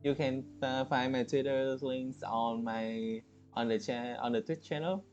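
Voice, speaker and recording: Casual male game streamer, mid-pitched, interjecting reactions and repeating words, prominent music bed. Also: fairly even intonation, measured pace, muffled recording. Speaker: game livestreamer. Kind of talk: casual live gameplay commentary. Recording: loud game music behind the voice.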